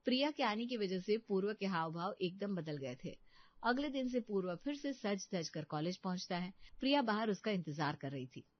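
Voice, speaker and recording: A woman's voice: mid-range voice, expressive, normal speed, no room sound. A woman narrating a scripted story as a storyteller, close to the microphone. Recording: slightly dull, dry studio sound.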